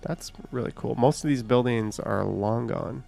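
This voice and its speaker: Guy on a live stream, kinda gravelly voice, rambling and cursing a bit, soft music behind. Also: medium pitch, a little slow. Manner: chatty, thinks aloud, swears casually. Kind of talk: casual live stream commentary.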